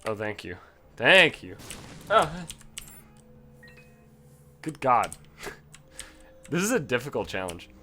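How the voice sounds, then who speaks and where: Animated man ranting over a game. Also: mid-range voice, takes it slowly, long pauses, a little hiss or hum. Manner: swears freely, yells in bursts of frustration. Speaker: gaming commentator. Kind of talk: casual let's play commentary.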